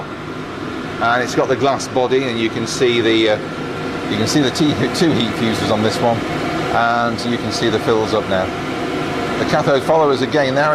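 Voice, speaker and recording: A man giving a casual technical tour as an engineer, explaining equipment as he operates it in a plain-spoken, matter-of-fact way with dry asides. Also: mid-range voice. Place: indoors in a transmitter hall. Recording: clear machinery and air-cooling noise.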